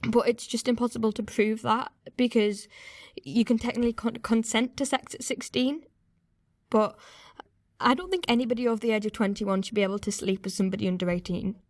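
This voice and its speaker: A young woman playing a young woman uneasily recalling her past in a halting, candid radio-play monologue. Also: a little high, fairly even intonation, darkish tone, close to an everyday voice. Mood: frank, indignant. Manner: hesitant, halting, candid, swearing. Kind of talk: naturalistic confessional monologue.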